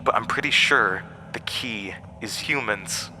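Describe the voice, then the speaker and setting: An actor playing an ancient monster, a persuasive, scornful tempter who speaks with theatrical, deliberate emphasis in a horror audio drama. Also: male voice, lowish voice, noisy background, close to an everyday voice. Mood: sly, conspiratorial.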